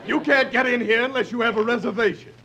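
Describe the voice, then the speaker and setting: A sarcastic doorman from a 1950s radio comedy. His voice sits extremely high for a man, and he snaps at whoever is in front of him. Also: fast talker, sharp tone, highs cut off, full character voice. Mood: snide, exclaiming. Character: snippy, sarcastic male restaurant doorman.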